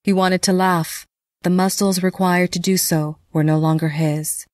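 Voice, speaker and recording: A woman reading scripted narration for a dark fantasy audio drama. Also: lowish voice, fairly even intonation, measured pace, no echo, studio quality.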